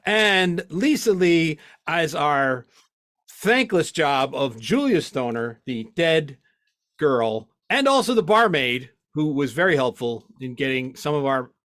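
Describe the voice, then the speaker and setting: Guy announcer w a highish voice, rambly jokey sign-off, dry close sound. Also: sing-song, slow pace, fairly bright tone, no echo. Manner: joking, rambling, mock exasperation. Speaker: director, producer and announcer. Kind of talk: casual live show sign-off.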